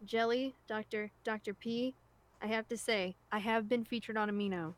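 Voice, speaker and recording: A woman co-hosting a relaxed, informal group discussion. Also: on the high side, moderate pace. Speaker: co-host and scriptwriter. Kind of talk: casual group chat.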